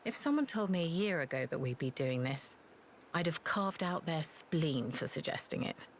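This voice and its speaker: An actress playing a proud, guilt-burdened former assassin in a video game, with a curt, formal way of talking. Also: female voice, deep voice, animated, moderate pace, a little hiss or hum. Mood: dry, wry. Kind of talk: video game cutscene acting.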